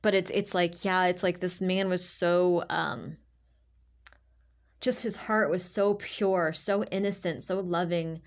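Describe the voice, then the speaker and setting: A woman with a slightly low voice gives an informal tarot reading as a monologue. She talks in a halting, emphatic way, repeating phrases as she feels her way through and leaning often on the word "like". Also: steady tone. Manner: halting, repetitive, emphatic, filler-heavy with "like". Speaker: tarot reader, channeler.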